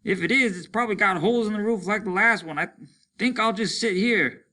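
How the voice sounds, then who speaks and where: A man playing a hardened soldier at the end of his rope in a storybook reading. The voice is raised and strained, with a bright, hard edge. He speaks bluntly, with bitter fatalism. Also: sits high, brisk, voice pushed up. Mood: weary, strained. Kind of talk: dramatic storybook character reading.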